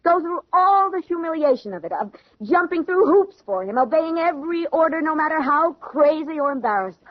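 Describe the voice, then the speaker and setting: High-pitched, defiant young woman. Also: expressive, brisk, no room sound. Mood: bitter, weary. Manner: indignant, emotional, theatrical. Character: proud, defiant, distressed young engaged woman. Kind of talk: radio drama acting. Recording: dull vintage radio recording.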